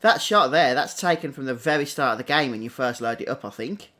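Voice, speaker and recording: Man casually commentating a game, medium pitch. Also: expressive, brisk, pushed and bright. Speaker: game streamer.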